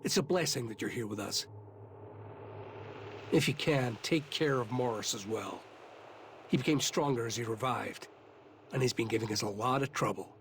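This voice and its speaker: An actor playing an earnest, courteous soldier in a fantasy video game, speaking formally. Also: male voice, medium pitch, normal speed, pauses often, fairly bright tone, slight background noise, close to an everyday voice. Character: earnest, grateful male soldier of a fantasy guard. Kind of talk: video game cutscene acting. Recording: voice over game music.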